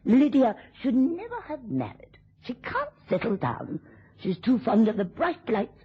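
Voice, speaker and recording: An actress in a radio drama playing a drunken, taunting, spiteful wife with a theatrical, mocking drawl. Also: female voice, on the high side, wildly swooping pitch, a little slow, breathy edge, full character voice. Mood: bitter, taunting. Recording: dull, lo-fi old radio recording.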